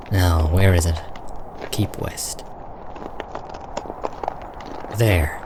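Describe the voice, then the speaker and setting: A man acting in a radio play as a dry, measured, contemplative imp who wryly watches humanity. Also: deep voice, gravelly, background noise, heavily acted. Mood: musing alone. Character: wry, philosophical non-human imp, calm male observer of humanity. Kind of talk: radio drama dialogue.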